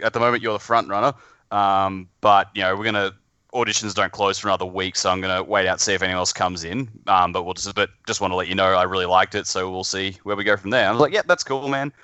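A man talking casually as a podcast guest, in a halting, informal way about his amateur acting. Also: fairly low pitch, sharp tone, clear, resonant tone, dry recording. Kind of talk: casual chat.

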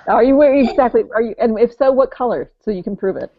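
A woman's voice: a little high, fast talker, no room sound. A woman co-hosting a casual podcast, chatty and self-deprecating, swearing freely as she talks. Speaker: podcast co-host. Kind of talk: casual chat. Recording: dry, dull lo-fi sound.

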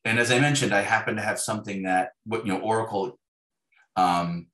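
Low-voiced man, echoey room. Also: a little fast, fairly bright tone. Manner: wry, self-deprecating. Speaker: interview guest, author. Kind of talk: casual reflective interview conversation.

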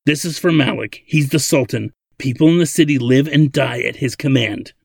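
Casting: man acting elderly male inventor, gravelly, hot-tempered, grumbling, stern father type, storybook reading. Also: mid-range voice, normal speed, dry recording, studio quality, voice pushed up. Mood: scornful.

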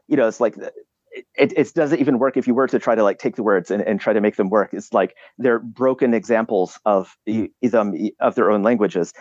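A man with a low voice talks in a reflective, halting way, circling back and restarting as he reaches for words. His recording sounds dry and close, with a dull, muffled tone. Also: a little mellow, no room sound. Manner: halting, many restarts and "you know" fillers. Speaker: podcast guest. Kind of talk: reflective conversational explanation.

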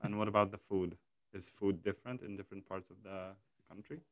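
A man's voice: low voice, little pitch movement, brisk, dry recording. A man hosting a casual chat, asking curious questions with frequent stammered restarts. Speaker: host.

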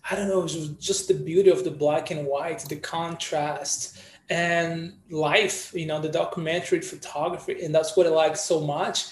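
A man from Brazil chats as a podcast guest with a relaxed, storytelling style, leaning on "you know" fillers. His voice sits fairly high for a man. The room around him rings with echo. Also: moderate pace, a little hiss or hum. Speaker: guest photographer. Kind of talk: casual interview chat.

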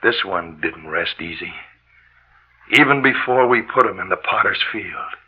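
An actor playing a haunted, obsessed morgue worker, brooding and intense in halting 1940s radio drama style. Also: male voice, low-pitched, animated, breathy edge, heavily acted. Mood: haunted, hushed. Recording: dull lo-fi old radio recording.

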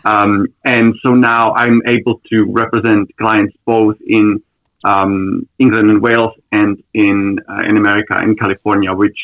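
A man in his thirties from the Czech Republic, a lawyer being interviewed on a podcast, answering thoughtfully with many pauses and restarts. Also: on the low side, flat delivery, no room sound, narrow, tinny audio. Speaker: lawyer, guest.

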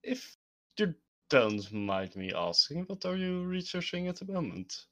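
A courteous Turkish professor, a man, as a player puts him on, speaks formally and eagerly. His voice swings widely in pitch, often leaping up high when he is excited, and sits at a middle level otherwise. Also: measured pace. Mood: polite. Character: Turkish male professor, polite and admiring.

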